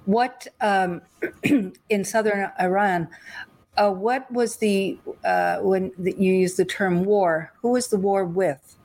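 A woman's voice: medium pitch, fairly even intonation, slow pace, darkish tone. A woman hosting an interview show, welcoming her guest with repeated greetings and asking about his life story. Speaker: show host. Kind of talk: interview podcast.

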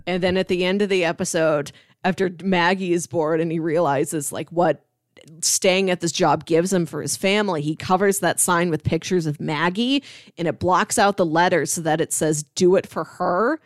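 A woman's voice: fairly low pitch, moderate pace, no room sound, clean studio sound. A woman co-hosting a casual podcast, explaining things informally with many asides. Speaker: podcast co-host. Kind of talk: casual chat about sitcom episodes.

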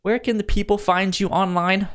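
A man co-hosting a podcast in a casual chat, speaking haltingly and stammering over words as he presents ideas. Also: high voice, fairly even intonation, a bit bright, clear, resonant tone. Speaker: podcast co-host. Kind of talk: casual comics pitch chat.

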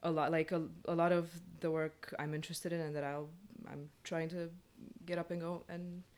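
A woman's voice: sits low, flat delivery, moderate pace, a little mellow, clear, resonant tone. A woman chatting casually as a playwright guest on a panel, explaining things informally in short phrases.

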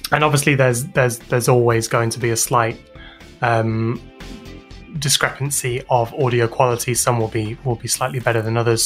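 Halting British man explaining his work. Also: medium pitch, a bit bright. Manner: hesitant, halting phrasing with repeated words. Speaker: interview guest, audio drama sound designer and composer. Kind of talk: explanatory interview talk.

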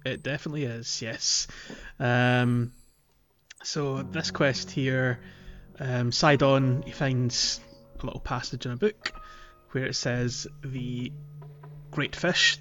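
A man's voice: medium pitch, lo-fi sound. A man hosting a podcast, talking casually and chattily about a game in informal language. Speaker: podcast host.

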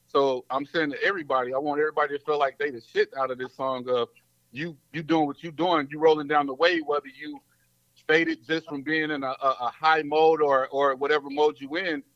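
A man from Mississippi and New Orleans, a rapper talking loudly and casually in slang. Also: fairly high pitch, level tone, a little fast, no room sound. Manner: loud, slangy, laid-back. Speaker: rapper guest. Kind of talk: casual interview chat. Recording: dull lo-fi dry sound.

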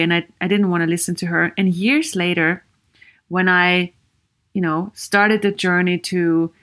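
A woman with a Viennese Austrian accent talks unhurriedly through a solo podcast episode. Her voice sits a little low, and she comes across as earnest and encouraging, often breaking off into "you know" while she asks her listeners questions. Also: fairly bright tone. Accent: Viennese Austrian German. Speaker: podcast host, psychologist.

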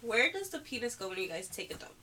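A woman's voice: fairly high pitch, very animated, fast talker, sharp tone, slight background noise. A woman in her twenties co-hosting a casual chat podcast with friends, curious and teasing, laughing often. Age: 20s. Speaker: podcast co-host.

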